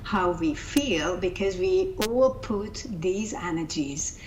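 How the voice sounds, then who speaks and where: A woman's voice: fairly low pitch, lively intonation, takes it slowly, a little hiss or hum, rough recording. A middle-aged woman from Hungary with a Hungarian accent, giving thoughtful interview answers and often repeating words as she searches for phrasing. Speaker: interview guest, coaching founder.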